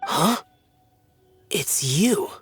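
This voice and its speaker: An actor playing a solemn, aloof, youthful immortal guardian in a video game, speaking in a grave, formal way. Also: male voice, a little high, expressive, very slow, fairly bright tone, soft background music, full character voice. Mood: terse, aloof.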